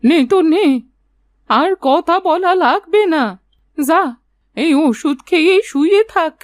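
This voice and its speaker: A woman's voice: very high-pitched, expressive, slow pace, dry recording, exaggerated voice. A woman voicing a blunt old fishing grandmother in a cartoon fairy tale. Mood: grumbling. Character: old fishing grandmother, blunt but honest to her word. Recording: music under the voice.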